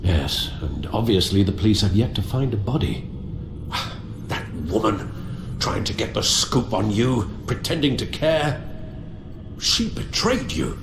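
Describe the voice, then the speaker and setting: An actor playing a loyal older male butler who speaks in a measured, formal, protective way. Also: fairly low pitch, very animated, noisy background. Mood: bitter. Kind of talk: video game voice acting. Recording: echoey game cutscene audio with music.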